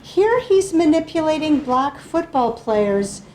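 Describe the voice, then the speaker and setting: Woman panelist lecturing over slides, kinda high voice, keeps asking "okay?" to the room. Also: big pitch swings, slow, a little mellow, slight background noise. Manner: explanatory, checks in with audience.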